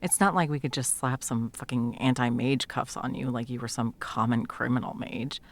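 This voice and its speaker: A woman playing a sardonic, foul-mouthed sound mage in an intimate fantasy audio roleplay, talking sarcastically and emphatically with a creaky edge. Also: rumbling low, fairly even intonation, moderate pace. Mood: defensive. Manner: sarcastic, emphatic, swearing freely. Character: sardonic, foul-mouthed female sound mage, guiltily helping an old friend.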